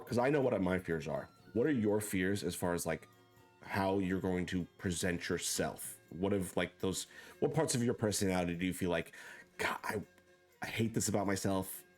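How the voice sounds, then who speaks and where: A man hosting a casual interview, loud, joking and self-deprecating as he talks. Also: deep voice. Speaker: host.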